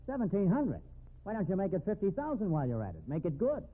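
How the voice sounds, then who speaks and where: Sneering crook, highish husky voice, blustery, vintage radio play. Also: male voice, wildly swooping pitch, fast, exaggerated voice. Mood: defiant, scornful. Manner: scornful, blustering. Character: defiant, sneering male blackmailer, slippery crook. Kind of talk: 1950s radio drama acting. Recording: narrow phone-like old radio recording.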